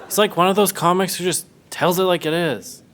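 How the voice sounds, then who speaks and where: A naive, eager guy, played by an actor in an audio sitcom, earnest and easily impressed. His high voice comes through noise and crowd sound. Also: fairly even intonation, fairly quick, lightly acted. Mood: awed. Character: naive, eager male comedy student. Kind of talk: sitcom podcast acting. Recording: noisy, crowd ambience behind.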